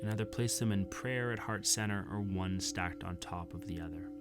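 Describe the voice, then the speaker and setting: A yoga instructor guiding a sleep meditation in a soothing, unhurried way with long pauses. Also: sits low, over loud music. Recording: singing bowl tones over the voice. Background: singing bowls and sound bath tones.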